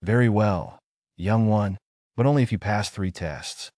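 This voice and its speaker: A man voicing a wise, kindly old forest guardian in a bedtime story, gentle and solemn in his measured delivery. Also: fairly low pitch, no echo, clean studio sound, natural voice. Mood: grave. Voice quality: soft, gentle.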